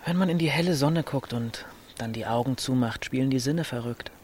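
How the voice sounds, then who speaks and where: A man's voice: medium pitch, fairly quick, darkish tone, slight background noise, close to an everyday voice. An actor playing a restless, defiant and pragmatic teenage boy on the run in a German radio drama. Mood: matter-of-fact.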